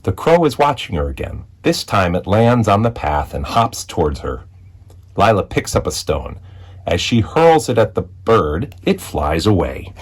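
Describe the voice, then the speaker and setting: A man reading a children's picture book aloud as a storyteller, with expressive narration and deliberate dramatic phrasing. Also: low voice, slightly rough. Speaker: read-aloud storyteller, school executive.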